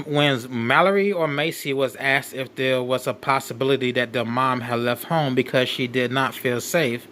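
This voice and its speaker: A man hosting a show, reading a document aloud with off-the-cuff commentary in a halting way, repeating and rephrasing as he goes. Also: mid-range voice, fairly bright tone, slight background noise. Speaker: late night show host.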